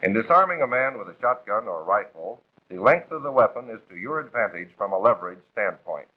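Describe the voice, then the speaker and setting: Through a thin, band-limited vintage film soundtrack comes an American man with a lowish, rough-edged voice. He reads scripted 1940s training narration, formal and authoritative, instructing step by step. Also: sing-song, brisk, narrow, tinny audio.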